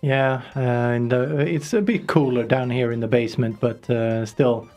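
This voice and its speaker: A man's voice: medium pitch, moderate pace, darkish tone, faint music behind. A man co-hosting a live radio show from a basement studio, rambling casually with many fillers. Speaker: radio co-host.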